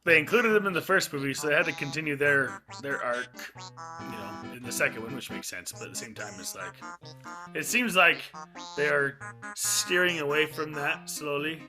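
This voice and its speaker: A man's voice: on the high side, normal speed. A man co-hosting a casual movie review, speaking with enthusiasm in a chatty way. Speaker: podcast co-host reviewing a movie. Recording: music behind the voice.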